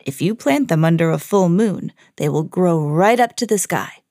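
A woman voicing a mysterious old man in a storybook, speaking in a measured way. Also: on the low side, animated, a little mellow, no echo, clean studio sound, voice pushed down. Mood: mysterious, persuasive. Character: mysterious old man trading magic beans.

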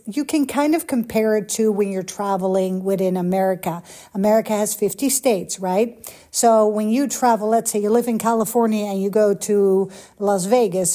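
Middle-aged Dutch-accented woman, podcast host, soft mellow tone, friendly pep-talk delivery. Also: mid-range voice. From Netherlands. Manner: chatty, encouraging, direct address to listeners. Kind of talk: casual solo podcast monologue.

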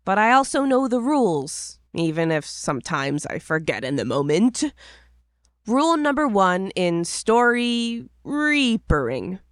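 A woman's voice: mid-range voice, big pitch swings, a little slow, dry recording, clean studio sound. A woman playing a curious, impatient young apprentice, narrating in the first person from a script. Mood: eager, hushed wonder. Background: music.

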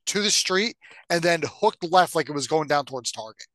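Higher-voiced man in casual, dry-sounding chat. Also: expressive, fairly quick, bright, forceful tone, no echo. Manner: informal, conversational. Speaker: podcast co-host. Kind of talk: casual chat about video game movies.

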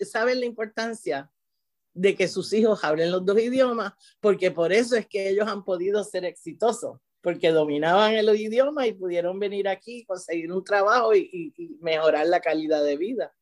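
A middle-aged woman from Puerto Rico with a Puerto Rican accent, a teacher talking as an interview guest, restarting her phrases often and tagging them with "¿verdad?". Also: medium pitch. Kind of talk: conversational interview.

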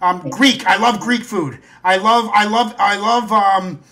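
A Canadian podcast host chatting enthusiastically, swearing casually and repeating himself. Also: male voice, high voice, a little slow, sharp tone. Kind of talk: casual chat.

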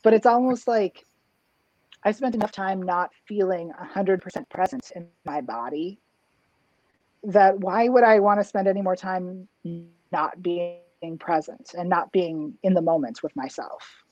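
A woman's voice: fairly low pitch, measured pace, darkish tone, no echo, rough recording. A woman in her thirties, a drag king performer, talking reflectively in a casual interview with lots of fillers and restarts, heard over a video call. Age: 30s.

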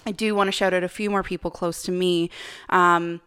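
A young woman co-hosting a podcast, with a soothing voice, chatting warmly and gratefully. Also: fairly low pitch, steady tone, a little fast, clean tone. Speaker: podcast co-host. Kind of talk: casual podcast chat.

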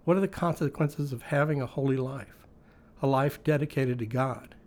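A man's voice: mid-range voice, moderate pace, a little mellow. A man reading a scripted devotional in a reflective way, often posing rhetorical questions. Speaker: devotional reader.

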